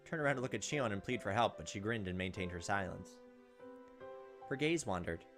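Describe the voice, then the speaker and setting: Casting: male gaming host, quick delivery, story narration with wry asides, faint music behind. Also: lowish voice, expressive. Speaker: let's play host. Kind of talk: reading game text aloud with casual commentary.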